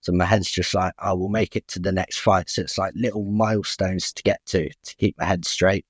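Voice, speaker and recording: Young male guest, low register, dry close mic, candid and talkative. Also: fairly even intonation, normal speed, dry recording. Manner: open, frank, chatty, restarts with "I'll be honest". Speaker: guest boxer. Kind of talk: candid podcast interview.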